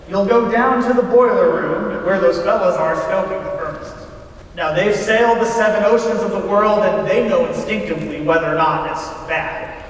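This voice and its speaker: A man playing a patient but stern ship captain on stage, explaining and cautioning in a measured, firm way. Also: high-pitched, lightly acted. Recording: echoey hall, distant mic, faint noise.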